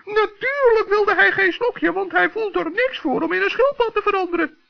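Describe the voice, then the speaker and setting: Tiny, very high-voiced forest gnome. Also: normal speed, vocal fry. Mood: crafty, amused. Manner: exclamatory, theatrical, childlike. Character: little old forest gnome, kindly, crafty, play-acting. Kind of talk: 1960s children's radio play acting. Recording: dull lo-fi old radio recording.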